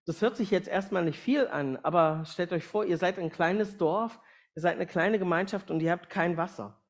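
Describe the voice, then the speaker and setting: A man's voice: high voice, normal speed. A man speaking to a congregation as a guest mission speaker, plain-spoken, addressing listeners directly with questions and everyday illustrations. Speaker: missionary aviation organisation speaker, guest preacher. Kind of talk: sermon and mission presentation to a congregation.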